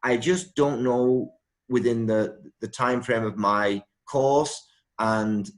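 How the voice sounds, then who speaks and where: A university professor speaking in a video call interview, halting with many restarts and repeated words. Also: male voice, mid-range voice, steady tone.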